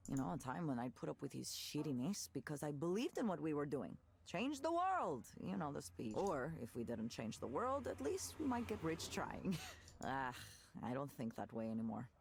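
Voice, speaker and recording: A woman's voice: low voice, sing-song, normal speed, gravelly, slight background noise, exaggerated voice. A woman playing a candid, world-weary former tech worker in a visual novel, speaking in a wry, reflective way. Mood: weary, cynical. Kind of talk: naturalistic visual novel voice acting.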